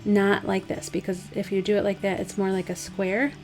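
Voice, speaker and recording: A woman with a slightly hoarse, dry voice, casually talking through a DIY craft project step by step. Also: medium pitch, normal speed, soft background music. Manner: relaxed, chatty, step-by-step instructions with fillers. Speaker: craft vlogger. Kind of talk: casual DIY tutorial voice-over.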